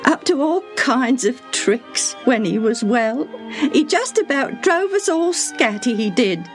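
A woman's voice: high-pitched, takes it slowly, a little mellow, soft background music, pitched above the performer's own voice. A woman voicing a faithful, grieving servant woman in a storybook reading, sobbing and lamenting in a folksy way. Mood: fond, wistful. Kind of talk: dramatised Bible storybook reading.

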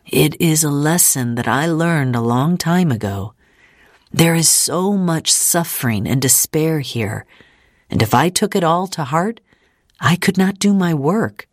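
A woman voicing a calm, resigned middle-aged father, measured and soothing, in an audiobook. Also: low voice, no room sound, studio quality. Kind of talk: audiobook character acting.

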